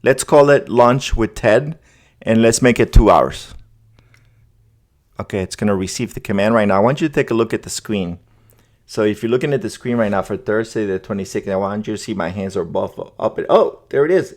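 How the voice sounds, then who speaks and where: A man's voice: on the low side, fairly quick. Casual man presenting a tech demo. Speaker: host demonstrating an AI agent setup. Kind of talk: casual screen-demo narration.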